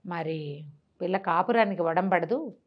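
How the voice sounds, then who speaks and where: A storytelling woman voicing a bride's wary father, explaining himself softly and apologetically. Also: on the low side, measured pace, muffled recording, voice pushed down. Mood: soft, apologetic.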